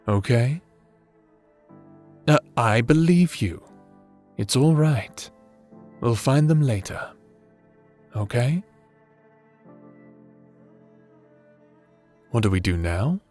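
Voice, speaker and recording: An affectionate necromancer husband , played by a man, in an ASMR roleplay, over music. He takes his time with every phrase at an ordinary male pitch. He is soothing and flirtatious, a self-styled villain who dotes on his sweetheart. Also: animated, takes it slowly, pauses often, darkish tone. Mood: tender, reassuring. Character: doting, teasing, self-described evil necromancer husband. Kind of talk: intimate ASMR roleplay acting.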